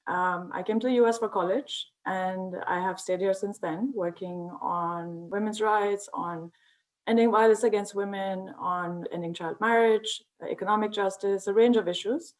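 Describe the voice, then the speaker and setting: A woman from Pakistan, a senior policy expert, speaking in an earnest, measured way with some fillers. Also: medium pitch, fairly even intonation, rough recording. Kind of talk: conversational self-introduction.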